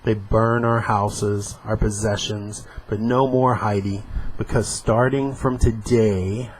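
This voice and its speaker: Guy reading a fanatical comic-book hero who rants, deep-ish, slow. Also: steady tone, slight background noise, low-quality recording. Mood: ranting. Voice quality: declamatory, hateful. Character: fanatical male action hero, ranting.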